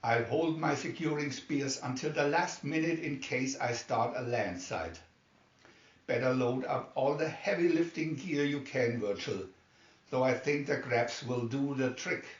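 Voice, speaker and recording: Man reading a brisk rescue pilot, roomy sound. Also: mid-range voice, close to an everyday voice. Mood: brisk, concerned. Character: brisk, alert male rescue pilot on radio. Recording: dull, roomy, distant microphone.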